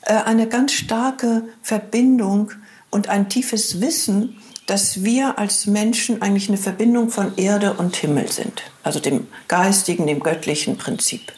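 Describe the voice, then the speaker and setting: A woman in her sixties speaks earnestly as a podcast guest, thinking aloud and pausing on hesitant fillers. Her voice sits at an ordinary middle pitch for a woman. She explains things patiently in a reflective, conversational tone. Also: a little mellow. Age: 60s. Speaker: podcast guest, body therapist. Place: lives in Hamburg.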